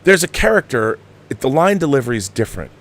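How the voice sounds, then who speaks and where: A man delivers opinionated film commentary in a conversational way. His pitch moves up and down widely as he hammers points home, restarting and repeating phrases with an exasperated, emphatic manner. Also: very animated, fast talker, fairly bright tone. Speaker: film review show host.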